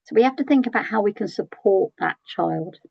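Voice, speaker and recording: A British woman with a croaky, gravelly voice leads an early years training session. Her delivery is chatty, and she often checks in with tag questions and pauses on "um". The sound is dry and a little dull. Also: mid-range voice, expressive, dry recording. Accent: British English. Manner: conversational, asks tag questions, frequent ums. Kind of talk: training webinar talk.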